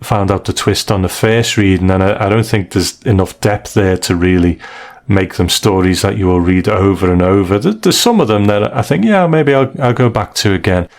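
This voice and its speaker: A man hosting a podcast, giving his views conversationally in a measured, polite way. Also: fairly low pitch. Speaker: podcast host.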